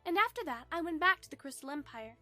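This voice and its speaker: A woman playing a gracious, earnest young pony princess, gentle and polite, in a fan audio drama. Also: high voice, animated, quick pace, soft background music.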